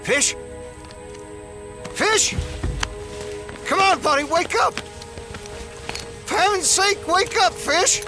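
An actor playing an earnest, protective small-town sheriff in an audio drama, speaking urgently and stammering under stress. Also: male voice, very high voice, takes it slowly, long pauses, music in the background. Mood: distraught.

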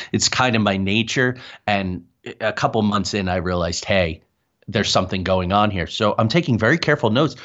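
A middle-aged man telling a personal story as an interview guest, haltingly and correcting himself as he goes. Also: on the low side, a bit bright. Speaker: guest, paranormal investigator. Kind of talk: conversational personal anecdote. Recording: dull, lo-fi sound.

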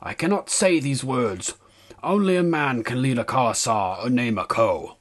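A man's voice: mid-range voice, animated, normal speed, voice pushed up, close to an everyday voice. A proud young warrior, acted by a male audiobook narrator, speaks formally and firmly. He sounds reluctant yet respectful, in a fantasy storybook reading. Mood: refusing. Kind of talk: audiobook character acting.

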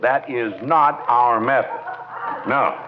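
An actor playing a dry, stubborn small-town police chief in a 1940s radio comedy, deliberate and unruffled. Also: male voice, medium pitch, slight background noise, lightly acted. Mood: firm, dry. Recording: muffled vintage 1940s radio recording.

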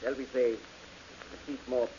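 An actor playing a politely formal butler in an old radio drama. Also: male voice, mid-range voice, flat delivery, slow pace, warm tone, close to an everyday voice. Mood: cool. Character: cool, formal male butler. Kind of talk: 1940s radio acting. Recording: dull old radio broadcast with hiss.

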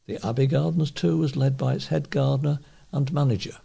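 Husky man reading local news. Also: medium pitch, steady tone, fairly quick, dark tone. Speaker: volunteer newsreader for a local talking newspaper. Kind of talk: read-aloud local news.

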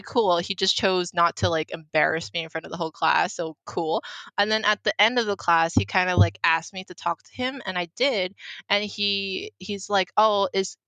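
Young woman, mandarin first language, chatty storytelling, bright voice, kinda low, dry sound. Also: no room sound, rough recording. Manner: chatty, lots of "like" fillers, acts out quotes. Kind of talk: casual storytelling chat.

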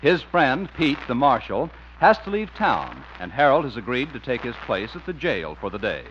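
A man's voice: medium pitch, big pitch swings, moderate pace, fairly bright tone, a little hiss or hum. A man announcing and narrating a scripted 1950s radio show. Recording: muffled 1950s radio recording. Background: music.

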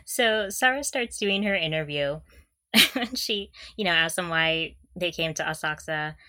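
Giggly young woman, bright close dry voice. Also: fairly low pitch, unhurried, no echo. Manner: giggly, playful, gushing. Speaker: podcast co-host. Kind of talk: casual fan discussion of audio dramas.

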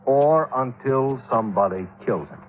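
An actor playing a worried, exasperated insurance executive with an American accent, earnest and emphatic, in a 1950s radio drama. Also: male voice, medium pitch, expressive, normal speed, close to an everyday voice. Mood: grumbling, worried. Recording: muffled vintage 1950s radio recording.

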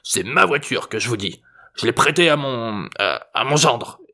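A man voicing a cranky, defiant old man with a hoarse, gruff voice, in an audiobook story. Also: mid-range voice, expressive, moderate pace, sharp tone. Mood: irritated, defiant. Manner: indignant, gruff, swearing.

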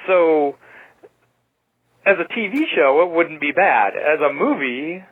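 A man's voice: fairly high pitch, lively intonation, slow pace, husky. A man on a tinny phone line giving a casual movie review as a caller, rambling wryly with tentative asides. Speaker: caller leaving listener feedback.

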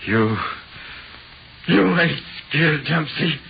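A man's voice: on the high side, lively intonation, slow, gravelly, full character voice. An old, dull radio recording with faint hiss. a haunted prisoner with a raised, swinging voice. He is nervous, pleading and slangy, a frightened crook in a horror drama. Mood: jittery, pleading. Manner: nervous, pleading, slangy tough-guy speech. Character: frightened, jittery male prisoner haunted in his cell. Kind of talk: 1940s radio horror acting. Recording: muffled, hissy 1940s radio recording.

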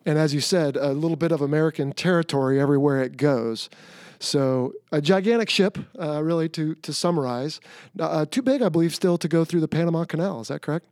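A man's voice: a little high, fairly quick, darkish tone, dry recording, studio quality. A middle-aged man hosting a podcast, speaking in a casual, conversational way as he presents and interviews. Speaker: podcast host.

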